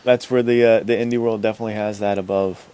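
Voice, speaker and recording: A man with a fairly low voice hosts a podcast in casual conversation. His talk is laid-back and slangy, full of like and dude, as if chatting with friends. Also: level tone, quick pace, dark tone, smooth. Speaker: podcast host.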